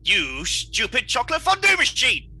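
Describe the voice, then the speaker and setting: Man playing a shrill, fussy comic villain. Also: huge pitch swings, moderate pace, sharp tone, breathy edge, full character voice. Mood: exasperated. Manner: melodramatic, fussy. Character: cape-swirling comic villain, petulant, exasperated, self-declared madman. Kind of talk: comic radio play acting.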